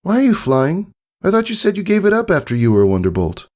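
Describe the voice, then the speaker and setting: Man voicing a high-pitched, childlike pegasus filly. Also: wildly swooping pitch, fast talker, darkish tone. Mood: curious. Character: young female pegasus filly, sleepy, curious, daughter. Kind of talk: acted fan fiction storybook reading.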